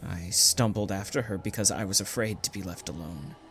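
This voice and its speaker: A man's voice: low voice, fairly quick. A man playing a frightened, brooding condemned prisoner, narrating his ordeal theatrically in a first-person audio drama. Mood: tense, grim. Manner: theatrical, anguished, swelling from hushed to urgent. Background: music.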